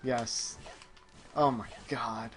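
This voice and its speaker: A man's voice: medium pitch, fairly even intonation, drawn-out words, a bit bright, soft background music. A man hosting a gaming show, chatting casually and muttering distracted asides as he plays. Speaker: gaming show host playing the game. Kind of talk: casual chat while playing.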